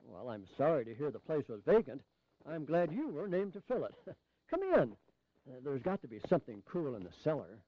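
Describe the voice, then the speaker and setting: An actor playing a frail, droll old delegate in an audio drama, grumbling with dry humour. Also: male voice, a little high, big pitch swings, fairly quick, no echo, muffled recording. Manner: grumbling, dryly humorous.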